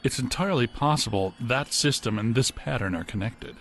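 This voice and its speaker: Actor as deadpan, brainy American scientist, medium pitch. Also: male voice, brisk, natural voice. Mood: dry, analytical. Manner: deadpan, precise, technical. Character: brainy, literal-minded, deadpan male inventor scientist. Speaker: scientist inventor character. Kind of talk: acted video game dialogue. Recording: music behind the dialogue.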